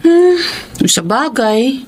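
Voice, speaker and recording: A supportive sensible friend in a tagalog radio soap, expressive voice, slight background noise. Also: female voice, high-pitched, drawn-out words, full character voice. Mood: sympathetic, reassuring. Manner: gently reasoning, reassuring. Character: sympathetic, sensible woman friend, a small store owner. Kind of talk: Tagalog radio drama acting.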